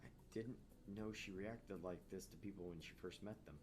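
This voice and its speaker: A man's voice: deep voice, normal speed, background noise. A man reading visual novel narration aloud as a gaming host, with casual asides.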